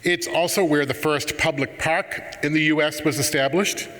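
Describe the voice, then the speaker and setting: Brief: man with a Boston accent, bright and forceful, teasing trivia, hall reverb with a faint crowd. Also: a little high, normal speed. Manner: lively, teasing buildup. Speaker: conference presenter. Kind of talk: playful trivia presentation. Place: large conference hall.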